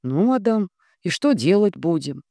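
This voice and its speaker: A woman's voice: fairly low pitch, big pitch swings, measured pace, mellow, no echo, studio quality, voice pushed up. A woman voicing a composed, businesslike male shinobi envoy. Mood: dry, expectant.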